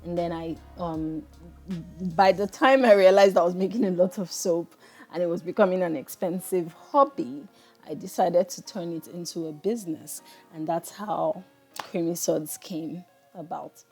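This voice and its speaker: Chatty Nigerian woman, lowish voice. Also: normal speed, a little mellow, slightly rough, soft background music. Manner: reflective, chatty, talks straight to viewers. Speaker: soap maker and channel host. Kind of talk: casual voice-over while making soap.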